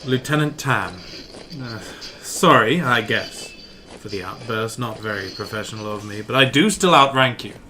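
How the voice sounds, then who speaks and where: A man's voice: mid-range voice, moderate pace, sharp tone, a little hiss or hum, lightly acted. A man playing an officious, touchy young Imperial lieutenant in a sci-fi audio drama, clipped and pompous. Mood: chastened.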